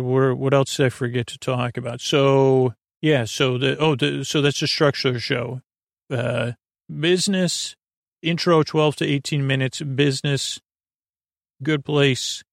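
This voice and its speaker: Creaky-voiced man rambling gently as podcast host. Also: mid-range voice, dry recording, clean studio sound. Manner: meandering, halting, goofy, reassuring. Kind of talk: rambling, casual bedtime podcast talk.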